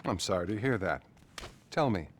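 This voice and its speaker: An actor playing a patronizing male therapist, measured and placating, in a naturalistic video game style. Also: fairly low pitch, expressive, slight background noise, close to an everyday voice. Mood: soothing.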